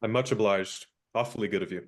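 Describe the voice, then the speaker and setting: A man's voice: fairly low pitch, fast talker, natural voice. An actor playing an easygoing young American man who speaks politely and a bit sheepishly. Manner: polite, casual, slightly sheepish. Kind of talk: radio play acting.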